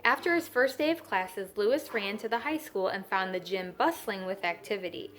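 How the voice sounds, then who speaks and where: A woman's voice: mid-range voice, normal speed, a bit bright. Woman reading aloud to children, some background noise. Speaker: teacher. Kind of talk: children's read-aloud with explanatory asides.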